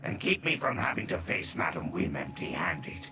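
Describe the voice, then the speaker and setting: An actor playing a sly, wry adventurer in a video game, with a dry, deliberate delivery. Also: male voice, sits low, very animated, exaggerated voice. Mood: intrigued. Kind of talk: video game character dialogue. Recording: clear background ambience noise.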